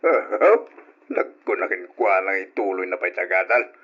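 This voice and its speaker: An actor playing a stern, stubborn middle-aged father in an old radio drama, gruff, commanding and scornful. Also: sits low, moderate pace, lo-fi sound.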